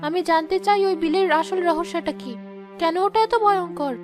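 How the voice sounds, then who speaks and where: A woman's voice: extremely high pitch, moderate pace, music in the background. A woman playing an anxious village wife in a cartoon, pleading in distress. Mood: troubled insistent. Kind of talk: dramatic cartoon voice acting.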